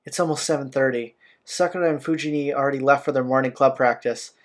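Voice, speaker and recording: A man's voice: medium pitch, fast. A man hosting a let's play, reading game narration aloud with casual asides. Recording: game music behind the voice.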